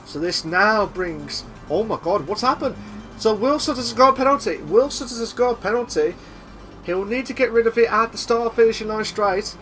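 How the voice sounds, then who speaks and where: A young man doing live sim racing commentary, excitable and repetitive, with a voice he says he is losing. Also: high voice, moderate pace, soft background music. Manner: excitable, repeats himself, shouts at big moves. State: losing his voice.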